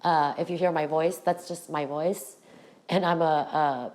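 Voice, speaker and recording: A middle-aged woman with a raspy voice, hosting her own podcast and talking frankly to her viewers about her past. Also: low-pitched, fairly even intonation, unhurried, darkish tone. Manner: candid, direct address to viewers. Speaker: podcast host. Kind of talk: personal storytelling to camera.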